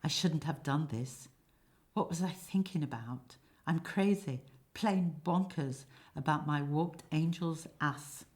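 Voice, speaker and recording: A woman's voice: low-pitched, slow, a little mellow. A woman voicing a nervous, lovesick young man in a comic stage play, hesitant and prone to stammering. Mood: panicky regret.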